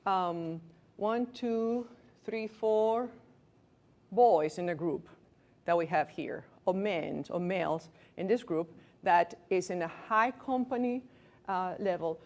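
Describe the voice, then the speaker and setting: A woman's voice: mid-range voice, a little mellow. Woman, late thirties, Venezuelan Spanish accent, physician leading a staff training, candid, self-mocking asides, mid-range voice. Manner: candid and self-deprecating, joking asides, restarts words. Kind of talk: lecture to a workplace group. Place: indoor training room with a small group.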